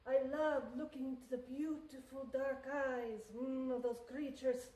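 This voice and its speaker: A woman voicing a gentle, nostalgic old grandmother with a put-on Russian accent in a filmed stage piece, speaking in a soft, wistful way. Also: high voice, steady tone, measured pace, voice pushed down. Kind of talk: filmed solo theatre performance. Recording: echoey room, mic far off.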